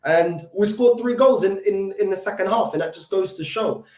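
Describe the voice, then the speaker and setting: A man with a London accent, pitched a touch high, rants about his football team in a reverberant, echoey room. He is still recovering from illness, so the voice is not at full strength. He is emphatic and repetitive, stumbles through restarts, and fills his pauses with ums. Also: a little mellow. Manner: frustrated, emphatic, repeats himself with many fillers and restarts. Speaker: football fan channel host. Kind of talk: solo fan reaction commentary.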